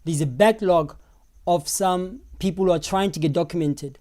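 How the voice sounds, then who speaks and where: Brief: South African man, hoarse and losing his voice, streamer answering chat, mellow tone. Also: high voice, moderate pace. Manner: animated, talks back to viewers' comments. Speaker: live stream host. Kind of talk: live stream commentary reading chat.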